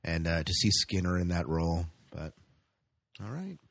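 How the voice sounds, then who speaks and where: Guy with a low voice joking around on a podcast, really dry sound, chatty. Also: normal speed, gravelly, no room sound. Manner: joking, bantering, excitable. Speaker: podcast co-host. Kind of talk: casual podcast chat.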